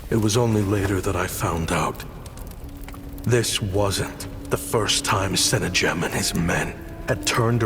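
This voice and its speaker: A grim, weary avenger from a video game, heavy with remorse. He speaks low and very slowly, halting and brooding, with music faintly behind him. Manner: slow, halting, brooding delivery. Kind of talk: dramatic video game voice acting.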